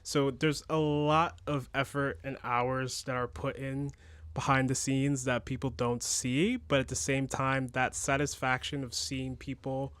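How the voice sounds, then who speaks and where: Relaxed young man, mid-pitched, reflective. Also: a little slow, clean tone, dry recording. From New Jersey and Nigeria. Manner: relaxed, reflective, laughs often, says "like" a lot. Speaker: nightlife event organizer. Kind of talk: casual radio chat.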